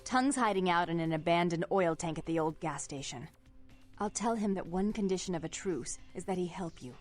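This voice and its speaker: A woman's voice: lowish voice, lively intonation, brisk, soft background music, close to an everyday voice. An actress playing a cold, controlling vampire businesswoman in a video game, speaking in a formal, composed, condescending way. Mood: businesslike. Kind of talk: video game character acting.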